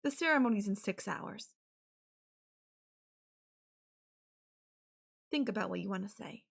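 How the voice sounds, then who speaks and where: A woman's voice: mid-range voice, lively intonation, gravelly, husky, exaggerated voice. A woman playing a possessive, sarcastic and menacing yandere girlfriend in an audio roleplay, talking with sweet condescension. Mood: cold, threatening. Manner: slow, sarcastic, sweetly condescending with veiled threats. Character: possessive, menacing yandere girlfriend holding her partner captive. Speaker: voice actor.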